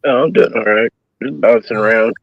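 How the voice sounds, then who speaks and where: Gravelly hoarse-voiced man. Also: mid-range voice, brisk, no room sound, highs cut off. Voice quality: gravelly, husky. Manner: laid-back, rambling joker. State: losing his voice. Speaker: caller on a live stream chat show. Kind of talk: casual crude banter.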